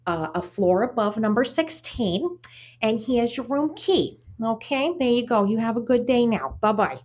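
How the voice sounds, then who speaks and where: Woman playing a slow, high-voiced flustered clerk. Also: darkish tone. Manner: hesitant, awkwardly cheery. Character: flustered, polite front-desk clerk handing out room keys. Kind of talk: audio drama acting.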